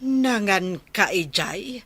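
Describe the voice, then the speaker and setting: A woman's voice: medium pitch, animated, slow pace, a bit bright, slightly rough, no room sound, heavily acted. A woman playing an exasperated, nagging woman fed up with a freeloader, with an Ilocano accent, in a radio comedy drama. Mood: scolding. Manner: nagging, scornful.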